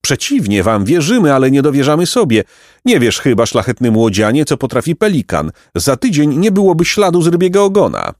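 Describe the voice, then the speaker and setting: A man voicing a vain, pompous, aristocratic pelican with a shrill squawking voice for a storybook. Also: big pitch swings, fairly quick, darkish tone, dry recording, clean studio sound, lightly acted. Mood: boastful. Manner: pompous, haughty, florid exclamations. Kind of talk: storybook character voice.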